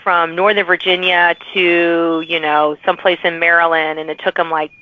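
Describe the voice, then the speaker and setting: A woman's voice: fairly low pitch, flat delivery, normal speed, narrow, tinny audio. A woman hosting a podcast, talking in a casual, chatty, informal way with emphatic asides. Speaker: podcast host. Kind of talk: casual interview chat.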